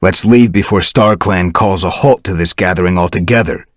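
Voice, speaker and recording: A man's voice: low voice, normal speed, no echo, clean studio sound, close to an everyday voice. A man voicing a stern male cat clan leader in a storybook, speaking in a firm, warning way.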